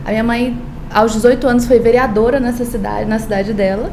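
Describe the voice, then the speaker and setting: A woman's voice: fairly high pitch, fairly even intonation, a little fast, reverberant, background noise. A woman from Brazil, a lawyer and law professor, telling her story earnestly in a podcast interview, with frequent hesitations. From Brazil, born in Paraíba, raised in Brasília.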